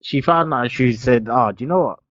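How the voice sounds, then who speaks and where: A man's voice: medium pitch, a little fast, a bit bright, no echo. A young man, telling a long story in casual podcast chat and tagging his sentences with "innit". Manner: rambling storytelling, tags "innit" often. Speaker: podcast co-host. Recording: dull lo-fi dry sound.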